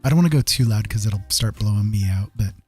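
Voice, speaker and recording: A man's voice: lowish voice, fast talker, a little mellow, husky. Rambling male livestreamer over music. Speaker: live art streamer. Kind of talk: casual livestream chat with viewers. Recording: music playing behind the voice. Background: background music.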